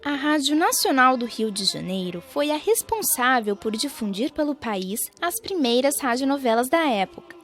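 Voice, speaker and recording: A woman's voice: sits high, sing-song, moderate pace, few pauses, fairly bright tone, faint music behind. A young Brazilian woman reading scripted narration for a radio documentary. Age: young adult.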